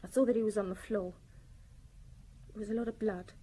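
A woman's voice: medium pitch, fairly even intonation, brisk, warm tone, slightly rough, natural voice. An actress playing a defiant, grieving young working-class woman in a radio drama, blunt and defensive. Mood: shaken, defensive.

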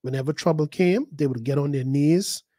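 A man, a pastor preaching a sermon, emphatic and repeating words, his pitch jumping high at key points. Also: normal speed, dark tone, no echo, clean studio sound. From the Bahamas. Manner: repeats words and acts out quoted voices.

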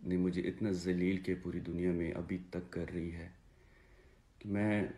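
A man in his thirties with a Pakistani accent, giving an earnest, halting personal statement to camera and repeating phrases for emphasis. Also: sits low, flat delivery, warm tone. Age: 30s. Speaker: father making a personal video appeal. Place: small indoor room, seated before a plain wall.

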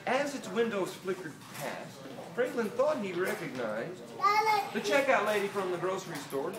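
A middle-aged American man reads a children's storybook aloud with dramatic pauses, as if to young listeners. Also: high voice. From Delaware, United States. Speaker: children's author reading his book aloud. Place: inside a small toy shop. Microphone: camera a few metres away. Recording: roomy, distant, noisy phone-camera recording.

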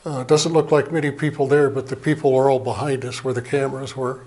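An older man, an Air Force veteran, giving a plain, halting slide presentation from a lectern in a small museum meeting room, heard from a camera across the room. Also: medium pitch, level tone, darkish tone. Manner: plain, unhurried, halting with fillers. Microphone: far camera, speaking at a lectern.

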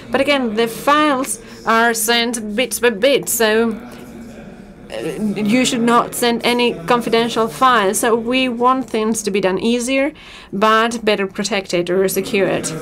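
Fast woman interpreting a pitch. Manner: fast, steady delivery with filler "uh"s. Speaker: simultaneous interpreter. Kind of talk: live interpretation of a startup pitch. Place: conference auditorium. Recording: auditorium feed with crowd and noise.

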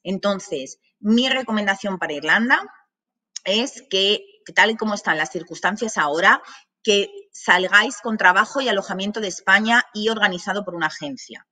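A woman's voice: medium pitch, moderate pace, bright, forceful tone. A woman in her thirties from Madrid with a Madrid Spanish accent, giving an online masterclass in a chatty way full of asides and fillers, recorded at her office desk. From Madrid, Spain. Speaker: language academy director. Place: small office with banners.

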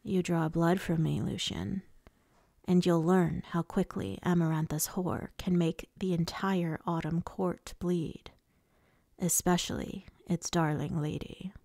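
Menacing immortal male High Lord, played by a woman narrator, taunting and smooth, unhurried, dry storybook read. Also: fairly even intonation, dark tone, dry recording, exaggerated voice. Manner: taunting, purring, casually cruel.

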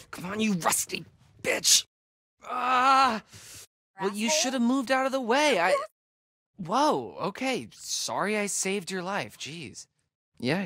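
A man's voice: sits high, sharp tone, no echo, clean studio sound, full character voice. A young American man playing a nervous, wisecracking guy in a horror game, with a hesitant, sardonic, slangy delivery. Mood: tense, annoyed, then wry, uneasy. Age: young adult. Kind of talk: naturalistic video game acting.

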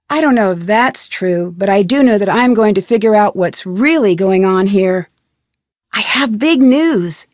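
A young American amateur sleuth for a mystery video game. Her voice sits a little high, earnest and polite, with an inquisitive, determined way of questioning. The recording is close and dry, like studio game dialogue. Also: no room sound. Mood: probing, determined, then insistent. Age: young adult. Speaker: video game detective protagonist. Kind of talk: scripted adventure game voice acting.

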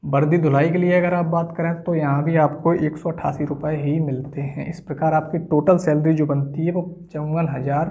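A man's voice: fairly high pitch, lo-fi sound. A man explains step by step like a coaching teacher, repeating key points as he goes. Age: 20s. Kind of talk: explanatory whiteboard lesson. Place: small indoor room at a whiteboard.

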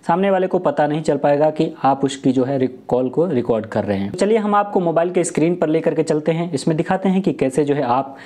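A man's voice: a little high, animated, a little fast, few pauses, darkish tone. A young man giving a step-by-step tutorial, explaining and rephrasing his points as he goes. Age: young adult. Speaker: tech tutorial presenter. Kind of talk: step-by-step tutorial talk to camera. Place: small room indoors. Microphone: lapel mic.

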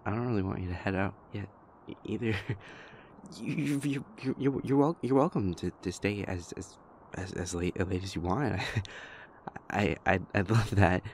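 Shy, gentle young boyfriend played by a male actor for intimate audio roleplay: low, creaky, stammering and apologetic. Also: a little hiss or hum. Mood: flustered. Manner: stammering, hesitant, apologetic, soft-spoken.

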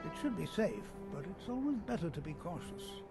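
A kindly older wizard teacher from a fantasy game. The voice is notably high for a man, musing and gently fussy as it instructs. Loud music runs underneath. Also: sing-song, normal speed. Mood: gentle, cautionary. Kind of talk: video game character dialogue.

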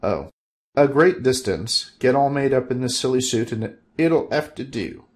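A man's voice: mid-range voice, expressive, unhurried, pitched above the performer's own voice, close to an everyday voice. A man voicing a dry, sardonic, grumbling everyman hero.

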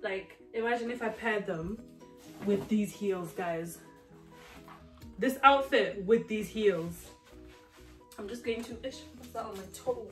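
A woman's voice: medium pitch, slow, pauses often, fairly bright tone, soft background music. A young woman, not a native English speaker, chatting animatedly in a home try-on haul vlog and calling her viewers guys. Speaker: fashion vlogger. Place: home living room, indoors.

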